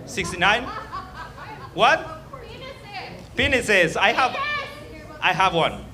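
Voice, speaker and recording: A man with an Argentinian accent works a stand-up set in a comedy club, his fairly high voice swinging widely up and down. His jokes ramble and stay crude and self-mocking. A loud crowd reacts all around him. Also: expressive, takes it slowly.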